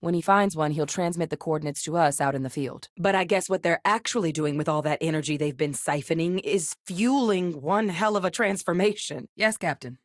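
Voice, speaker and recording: An actress playing an earnest, guarded military scientist in a space video game, speaking in a measured, articulate, serious way. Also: female voice, low voice, dry recording, clean studio sound, lightly acted. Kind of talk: video game character voice lines.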